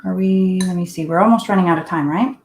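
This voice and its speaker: A woman from Finland talks an online class through her painting in a relaxed, chatty way, thinking aloud as she works at her desk. Her voice sits fairly low. The recording has a roomy echo around it. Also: fast talker, a little hiss or hum. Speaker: art teacher. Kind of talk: live painting demonstration. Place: home desk. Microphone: live online class setup.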